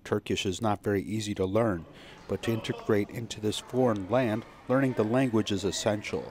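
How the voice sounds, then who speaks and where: Male news voice-over, low and steady, measured scripted read. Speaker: news narrator.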